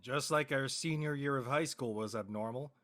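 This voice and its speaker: A man's voice: medium pitch, moderate pace, a bit bright, close to an everyday voice. A man playing an easygoing college-bound young Californian in casual scripted dialogue. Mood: casual, friendly.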